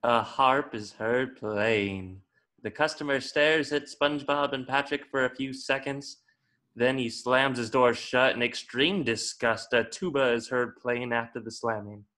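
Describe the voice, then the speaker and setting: Bright-voiced man reading stage directions. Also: mid-range voice, unhurried. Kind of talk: scripted narration.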